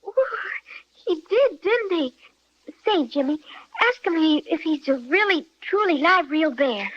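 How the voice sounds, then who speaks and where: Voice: extremely high pitch, lively intonation, unhurried. A timid little girl. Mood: wondering. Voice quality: sweet, wide-eyed. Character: sweet timid young girl. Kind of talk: 1930s radio acting. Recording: dull old radio recording.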